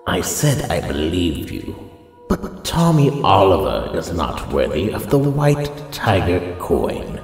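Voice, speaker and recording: A man playing an ancient, solemn guardian spirit who speaks gravely and portentously in a superhero audio drama. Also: sits low, wildly swooping pitch, slow, darkish tone, faint music behind, heavily acted. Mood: grave, testing. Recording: long cavernous reverb.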